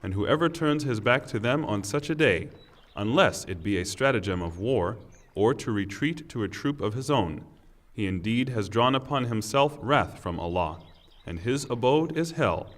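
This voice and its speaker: A man giving a solemn, scripted reading of scripture in a measured, declamatory manner. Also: mid-range voice, expressive. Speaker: reader of scripture translation.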